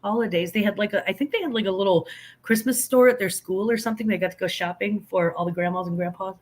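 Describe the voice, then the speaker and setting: A woman's voice: medium pitch, fast talker. An older woman, a grandmother, hosting a casual livestream, chatting in a rambling, self-deprecating way while answering viewers, a little stuffy from allergies. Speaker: livestream host.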